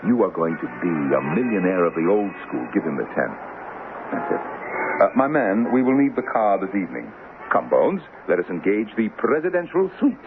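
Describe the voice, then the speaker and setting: An actor playing a suave, grandiose devil posing as a gentleman swindler in a radio drama, theatrical, breezy and supremely self-assured. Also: on the low side, sing-song, brisk. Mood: breezy, lordly. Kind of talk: 1970s radio drama acting. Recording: tinny, noisy vintage radio broadcast.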